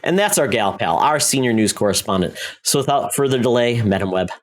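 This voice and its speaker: Animated American man hosting a podcast. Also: medium pitch, a little fast. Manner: jokey, playful. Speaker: podcast co-host. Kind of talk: casual scripted intro and banter.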